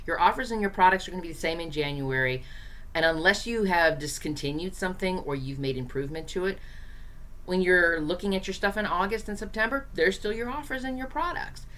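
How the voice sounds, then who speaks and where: Low-voiced hoarse woman teaching. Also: lively intonation, brisk, fairly bright tone. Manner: chatty, direct, encouraging, with frequent fillers. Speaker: host, small business marketing coach. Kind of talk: casual teaching to camera.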